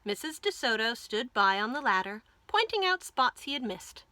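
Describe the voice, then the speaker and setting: Lady reading a kids story, bright voice, kinda high, really up n down, close dry sound. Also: very animated, normal speed, no room sound. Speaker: children's storytime host. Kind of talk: expressive scripted children's story narration.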